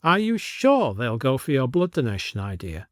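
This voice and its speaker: A man plays a down-to-earth village nurse in an audio story. She is wary and plain-spoken, voiced a little higher, with a dry, close sound. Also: huge pitch swings, normal speed, fairly bright tone, no room sound. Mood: doubtful.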